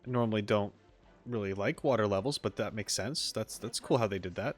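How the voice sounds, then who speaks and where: A man's voice: lowish voice, brisk, soft background music. A man streaming a video game, reacting casually on a close mic. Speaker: gaming streamer.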